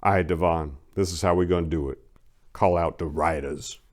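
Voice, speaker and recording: Menacing male crime boss as acted by a male narrator, low voice, commanding street talk, audiobook drama. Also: fairly even intonation, moderate pace, darkish tone, natural voice. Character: menacing foul-mouthed male crime boss giving orders.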